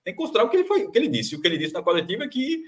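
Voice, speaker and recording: A Brazilian man analyzing football on a panel show, animated and full of restarts and repeated phrases. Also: high voice, very fast. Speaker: football commentator. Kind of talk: sports analysis panel chat.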